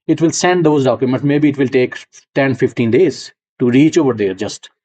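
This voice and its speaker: A man's voice: medium pitch, normal speed, dark tone. A middle-aged man from Punjab with a Punjabi accent, giving a university lecture and explaining ideas step by step while checking in with his students. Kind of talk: classroom lecture.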